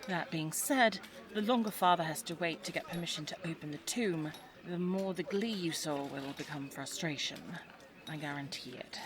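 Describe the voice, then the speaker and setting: A woman's voice: low-pitched, normal speed, crowd noise, natural voice. A woman playing a headstrong, caring young English daughter in a 1920s audio drama, with well-spoken, formal period phrasing. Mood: composed, confiding. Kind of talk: scripted audio drama acting.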